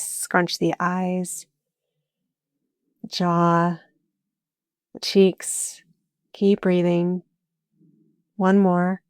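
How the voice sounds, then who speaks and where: A woman leads a guided relaxation at a very slow pace. Her voice sits a little low, with plenty of creak at the edges. She gives calm, soothing instructions in short phrases and leaves space between them. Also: dry recording, clean studio sound. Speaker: yoga nidra teacher.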